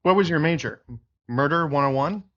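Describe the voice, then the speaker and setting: A man's voice: a little high, moderate pace, a bit bright, muffled recording, natural voice. An actor playing a blunt, pushy male detective partner in a comedic radio drama.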